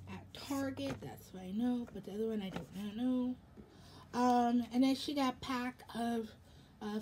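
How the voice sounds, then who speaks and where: A young American woman with a fairly high, slightly raspy voice talks casually to camera. She rambles and halts at a slow pace. There is clear background noise in the room. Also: darkish tone. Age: young adult. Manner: rambling, halting. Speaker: vlogger. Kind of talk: casual haul vlog chat. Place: indoors in a home room.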